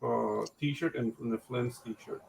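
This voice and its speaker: A man whose first language is not English, chatting casually in a group and rambling with halting restarts. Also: medium pitch, steady tone, gravelly, a little mellow, slight background noise, poor audio.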